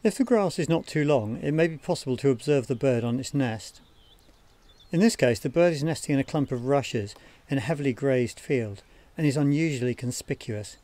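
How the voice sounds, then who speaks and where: A man giving scripted documentary narration for a wildlife training film in a measured, explanatory manner. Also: medium pitch, lively intonation, mellow, dry recording.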